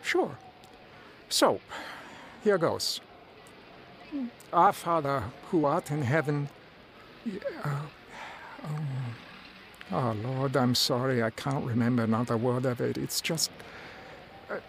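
A man's voice: medium pitch, slow pace, gravelly, pauses often, a bit bright, a little hiss or hum, poor audio. An actor playing a humble, sincere man who has given up drinking, telling his story in a radio drama with some hesitance. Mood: humble, hesitant. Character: earnest, humble reformed drinker recalling his life. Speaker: narrator and lead character. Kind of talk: radio drama acting with first-person narration. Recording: music behind the voice. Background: music.

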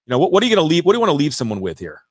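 A man's voice: fairly high pitch, huge pitch swings, rapid-fire, slightly rough, no echo, clean studio sound. A man hosting a podcast interview, talking energetically and effusively with many restarts. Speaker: podcast host. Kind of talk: interview chat.

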